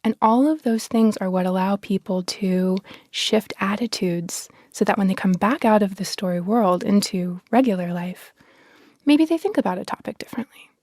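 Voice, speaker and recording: A woman, a podcast guest explaining science in an expressive, articulate, conversational way. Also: mid-range voice, normal speed, no echo, clean studio sound.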